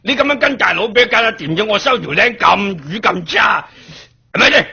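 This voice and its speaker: An exasperated gang boss in a Cantonese radio comedy from Guangdong. His high voice is extremely raspy and creaky, jumping between scolding and sly cajoling. The recording is a little dull. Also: lively intonation, fairly quick, bright, forceful tone, exaggerated voice. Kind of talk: improvised comic Cantonese radio play.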